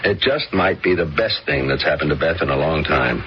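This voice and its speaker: A wealthy dad sizing up a suitor, deep voice, lively inflection, dull radio sound. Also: male voice. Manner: measured, questioning. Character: wealthy middle-aged father, probing and dry. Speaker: radio drama actor. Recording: dull old radio recording, faint hiss.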